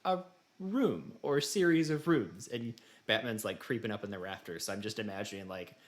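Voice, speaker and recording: A man's voice: lowish voice, lively intonation, a little fast. A man co-hosting a gaming podcast, chatting casually in a joking, rambling way. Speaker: podcast host.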